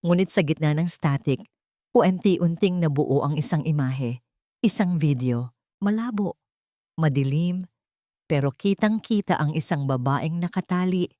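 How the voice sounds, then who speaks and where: A woman's voice: sits low, unhurried, warm tone, no echo, studio quality. A woman narrating a scripted first-person story in a dramatic, suspenseful and emotive way.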